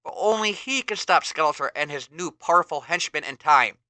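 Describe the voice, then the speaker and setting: A man's voice: a little high, expressive, fairly quick, bright, forceful tone, no echo. A man voicing a grave, urgent sorceress woman bringing a warning. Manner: earnest, urgent pleading tone.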